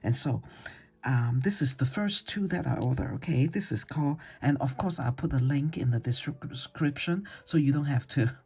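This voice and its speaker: Chatty, husky voice. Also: medium pitch, moderate pace, darkish tone. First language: Cantonese. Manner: rambling and chatty, with many hesitations and fillers; simple, non-native grammar. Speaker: watercolor art teacher. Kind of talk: casual painting tutorial talk.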